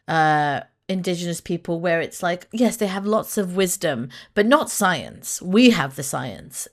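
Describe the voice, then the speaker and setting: A woman hosting a podcast interview, chatting conversationally and steering her guest toward new questions. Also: lowish voice. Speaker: podcast host.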